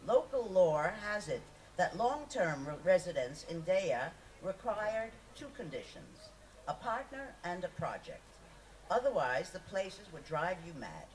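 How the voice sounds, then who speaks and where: Woman reading aloud, lively intonation, distant mic. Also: lowish voice, unhurried. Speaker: reader at a poetry festival. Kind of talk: reading a memoir aloud to an audience. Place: live festival reading, picked up from a distance. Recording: distant mic, noisy live event recording.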